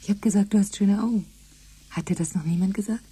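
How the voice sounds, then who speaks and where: An actress playing a yearning, desperate but tender colonist in a radio drama, speaking intimately, often half-whispering. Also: female voice, medium pitch, fast, mellow, breathy edge, no echo. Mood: soft, flirtatious. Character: yearning, desperate colonist woman, tender yet stubborn dreamer. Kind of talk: German radio drama acting.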